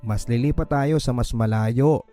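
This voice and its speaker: A man's voice: medium pitch, a little slow, mellow, faint music behind, close to an everyday voice. A man with a Filipino accent voicing an earnest, protective young husband in hiding for a radio drama. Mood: earnest, reassuring. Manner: earnest, serious. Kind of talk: Tagalog radio drama dialogue.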